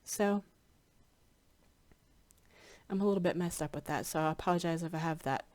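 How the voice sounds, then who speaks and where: A somewhat low-pitched woman talks casually to her followers, her voice a bit stuffy and scratchy from congestion. She rambles along, pausing with frequent ums and restarting her sentences. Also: darkish tone. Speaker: spiritual hypnotherapy practitioner. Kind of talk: casual chat to camera.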